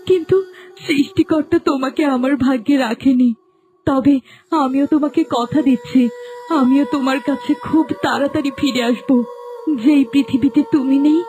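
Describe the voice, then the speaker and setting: A woman's voice: high-pitched, warm tone, lightly acted. A woman playing a devoted, heartbroken young woman in a melodramatic cartoon, with a plaintive, dramatic delivery. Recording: faint music under the voice.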